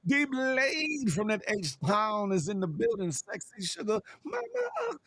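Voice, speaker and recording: A man with a scratchy, strained voice opens his morning show in a lively, preacher-like way, his fairly high voice swooping up and down. He sounds close and dry, with no room around him. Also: takes it slowly, slightly rough, no echo. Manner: animated, swoops in pitch, preacher-like encouragement. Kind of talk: lively live-stream show opening.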